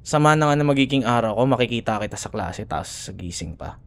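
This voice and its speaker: A man's voice: mid-range voice, animated, quick pace, a little hiss or hum, natural voice. A man with a Filipino accent playing a grumbling, teasing young school rival who secretly cares, in a sarcastic, casual ASMR boyfriend roleplay. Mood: sulky, grudging. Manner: sarcastic, casual bickering with sighs and murmured asides.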